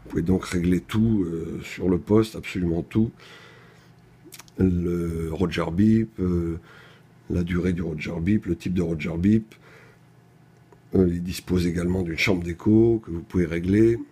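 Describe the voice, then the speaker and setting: A man's voice: sits low, steady tone, measured pace, warm tone, breathy edge. A man presenting a product in an unscripted technical demonstration, explaining things methodically, step by step.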